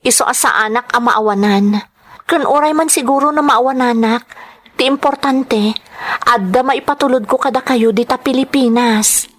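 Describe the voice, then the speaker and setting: Woman acting a high-voiced, self-sacrificing Filipina worker. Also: normal speed, close to an everyday voice. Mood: earnest, reassuring. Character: overworked, grateful, self-sacrificing young Filipina migrant worker. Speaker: drama actress. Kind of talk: Filipino audio drama acting.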